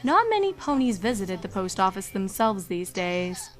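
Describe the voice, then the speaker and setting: A woman's voice: mid-range voice, big pitch swings, moderate pace, soft background music. A woman narrator reading a scripted story in storybook style.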